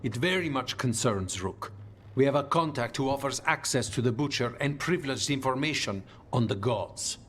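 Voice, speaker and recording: An actor playing a businesslike, secretive spymaster in a fantasy video game, speaking firmly and formally. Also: male voice, mid-range voice, expressive, moderate pace, a bit bright, a little hiss or hum, lightly acted. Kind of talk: video game dialogue acting. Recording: game music underneath.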